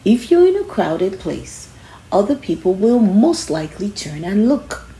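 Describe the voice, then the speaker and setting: Slow-paced woman reading to children, lively pitch. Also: slight background noise, rough recording. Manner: instructive, emphatic on key phrases. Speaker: children's book reader. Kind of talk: read-aloud of a children's self-help book.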